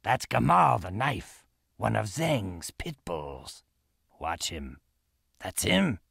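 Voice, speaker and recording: An actor playing a roguish, sly pirate first mate in a video game, talking in a confiding, easygoing way. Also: male voice, lowish voice, big pitch swings, slow pace, gravelly, fairly bright tone, husky, dry recording, studio quality, exaggerated voice. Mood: wary, confiding. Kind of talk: video game cutscene acting.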